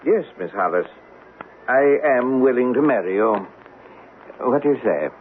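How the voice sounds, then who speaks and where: A thin, hissy old radio recording of an actor as a wizened, creepy captain. He is oily and domineering, his pitch swinging theatrically as he insinuates and commands. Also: expressive, measured pace, natural voice. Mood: pompous. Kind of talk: old-time radio melodrama acting. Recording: tinny band-limited old radio broadcast, hiss.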